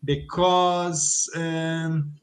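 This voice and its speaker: A man's voice: fairly high pitch, flat delivery, extremely slow. A man from Brazil with a Brazilian Portuguese accent, a native Portuguese speaker and teacher, talking in a casual panel discussion and often restarting as he searches for words.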